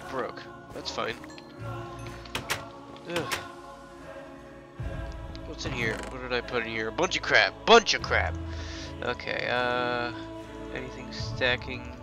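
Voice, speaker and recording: A man's voice: mid-range voice, slow, long pauses, music in the background. Congested young man narrating a game. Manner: rambling and chatty, with sudden excited outbursts. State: congested from illness. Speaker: gaming channel host. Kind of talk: casual let's play commentary. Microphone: headset.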